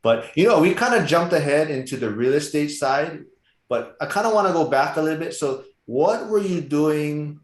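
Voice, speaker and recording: A man's voice: a little high, animated, normal speed. A man hosting a casual interview, speaking in a conversational way. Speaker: interviewer, host. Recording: echoey room.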